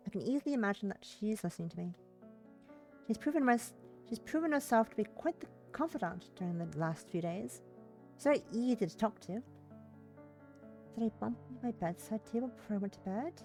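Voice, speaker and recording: A woman livestreamer reading a visual novel's narration aloud, stumbling over words and rereading phrases, with brief casual asides. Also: mid-range voice.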